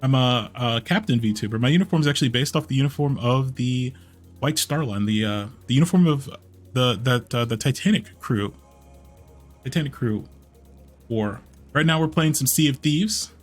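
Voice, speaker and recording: Casual male game streamer over music. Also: mid-range voice. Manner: halting, repeats phrases. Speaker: live streamer, VTuber. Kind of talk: casual gameplay livestream chat.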